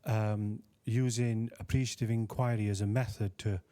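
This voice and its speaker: Mellow-voiced male panelist explaining. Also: on the low side, normal speed. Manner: explanatory, relaxed, many fillers. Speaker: panelist researcher. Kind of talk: panel discussion answer.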